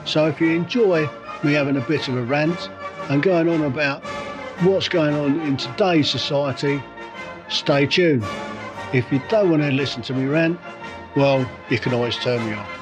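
A man hosting a solo podcast, ranting with indignant rhetorical questions and halting restarts, his voice a little croaky from a cold. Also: on the high side, music in the background. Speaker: podcast host. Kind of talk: solo opinion rant.

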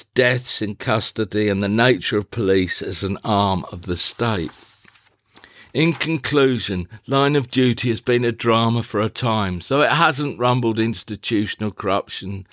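A man narrating a scripted, reflective personal diary in a measured, deliberate, formal manner. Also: fairly low pitch, a bit bright, no echo, studio quality. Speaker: diarist, playwright.

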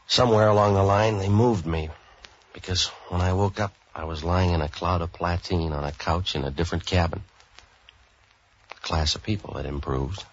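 An actor playing a wisecracking, street-wise boat-for-hire man in 1940s radio style, clipped, sardonic and deadpan, both in narration and dialogue. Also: extremely low pitch, breathy edge. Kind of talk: 1940s hard-boiled radio acting, first-person narration. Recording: dull old 1940s radio recording.

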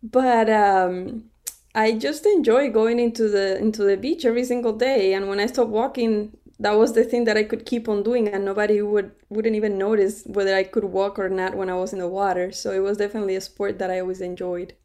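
A woman's voice: medium pitch, steady tone, fairly quick, warm tone. A middle-aged woman from Cuba, a podcast guest telling her story in a conversational interview, laughing often and restarting her sentences.

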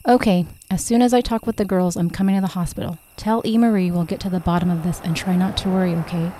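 A woman's voice: fairly low pitch, steady tone, fast talker, warm tone, slight background noise, natural voice. A woman voicing an anxious, protective grandfather who is a private investigator in an audiobook, with terse questions. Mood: concerned.

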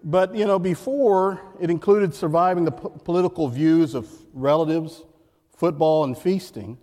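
A man with a loud voice preaching a guest sermon in church, emphatic and repeating key phrases while calling on the congregation to answer. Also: fairly high pitch, moderate pace. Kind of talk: sermon with jokes and call-and-response. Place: church sanctuary. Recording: long church hall reverb.

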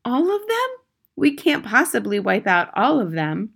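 A woman's voice: lively intonation, normal speed, gravelly, fairly bright tone, breathy edge, exaggerated voice. A woman voicing a timid, wretched underling witch in a storybook, pleading fearfully in cries. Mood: dismayed. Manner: high, fearful, begging cries. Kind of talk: dramatic storybook character voice.

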